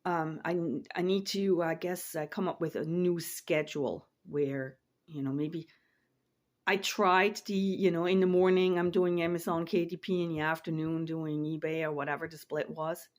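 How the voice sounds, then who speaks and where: A woman's voice: low-pitched, little pitch movement, normal speed. A woman in her forties from Switzerland, chatting casually to camera in her home office, rambling and repeating words as she trails off. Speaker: online reseller and vlogger.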